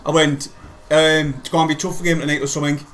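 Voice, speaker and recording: A man's voice: fairly high pitch, flat delivery, fast, a crowd in the background. A man, co-hosting a casual football live stream with laughing, sweary banter. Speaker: football channel co-host.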